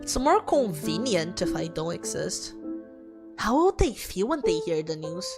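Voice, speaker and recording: Woman acting self-doubting young ghostly heroine, visual novel narration, emotive, introspective, expressive intonation, music underneath. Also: on the low side, a little slow, pitched below the performer's own voice. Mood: bitter, sad. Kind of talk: dramatic reading of visual novel text. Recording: music behind the voice.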